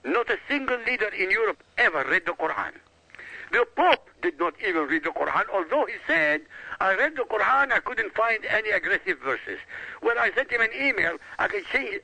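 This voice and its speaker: A man who grew up in Iraq, arguing his point hard and insistently as a radio guest. His voice is sharp and gravelly, with a husky edge. His pitch swings widely as he drives each point home. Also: no echo, poor audio. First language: Arabic. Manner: emphatic, insistent and combative. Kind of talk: argumentative radio talk.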